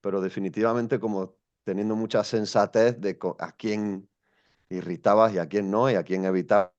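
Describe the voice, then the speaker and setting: A man's voice: lowish voice, fairly even intonation, moderate pace, a little mellow, muffled recording. A young man from Spain, a guest telling his story in an interview, thoughtful and hesitant with many restarts. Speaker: guest, education coach.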